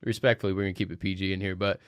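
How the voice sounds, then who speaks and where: A young man co-hosting a podcast, chatting casually and joking, with lots of fillers, his pitch jumping up high when he quotes people. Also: flat delivery, racing, clean tone, no room sound, clean studio sound. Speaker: podcast co-host. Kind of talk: casual chat.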